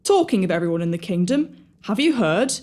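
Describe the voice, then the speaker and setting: A woman playing an excitable, naive young pantomime sidekick who scares easily, with an eager comic manner. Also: medium pitch, fairly quick, lightly acted. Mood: chirpy. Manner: wide-eyed, comic, eager. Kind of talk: audio pantomime acting.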